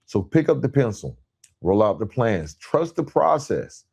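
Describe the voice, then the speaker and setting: A man's voice: mid-range voice, big pitch swings, measured pace, creaky, dark tone, breathy edge. A man hosting a motivational podcast, speaking in an earnest, emphatic monologue built on parallel phrases. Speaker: podcast host.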